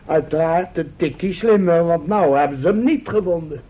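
High-voiced, bumbling comic sidekick. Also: male voice, normal speed, slightly rough. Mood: cocky. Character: cocky, dim-witted comic male sidekick. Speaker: actor. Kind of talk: Dutch radio drama acting. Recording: muffled old radio recording.

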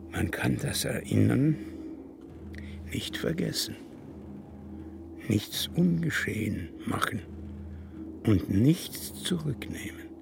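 An actor playing a bitter, resigned old man, hushed and gravelly, in an intimate radio drama monologue. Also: fairly low pitch, full character voice. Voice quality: creaky, gravelly. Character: bitter, resigned old man voicing his farewell letter. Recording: clearly noisy background.

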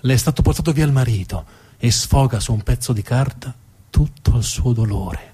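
A man's voice: medium pitch, moderate pace, warm tone, breathy edge. A man narrating as a stage storyteller, dramatic in delivery and repeating words for emphasis.

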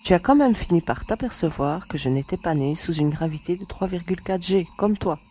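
A performer playing a spirited, independent young woman commando in an audio drama, with lively, emphatic banter. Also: mid-range voice, fairly quick, a little hiss or hum, natural voice.

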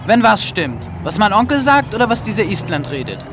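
A man's voice: sits high, very animated, brisk, background noise. An actor in a German audio drama voicing an earnest, worried boy with an urgent manner. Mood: defensive. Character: anxious, earnest orphaned boy, lion keeper's nephew.

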